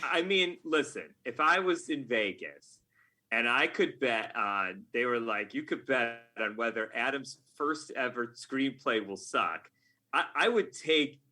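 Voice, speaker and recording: A man's voice: mid-range voice, animated, a little slow, pushed and bright. A man talking casually as an interview guest, telling stories in a jokey, self-deprecating way. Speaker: podcast guest, game writer.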